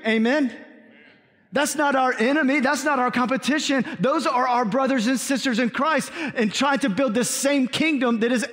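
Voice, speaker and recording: A man preaching to his congregation as pastor, energetic, with call-and-response asides, then interviewing a guest on stage. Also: very high-pitched. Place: large church sanctuary. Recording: reverberant church hall.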